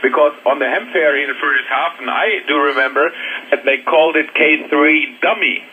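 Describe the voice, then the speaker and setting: German-accented man over narrow, noisy ham radio. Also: on the high side. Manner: halting, searching for English words. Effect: shortwave radio transmission. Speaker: amateur radio operator. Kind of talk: casual radio contact chat. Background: radio static.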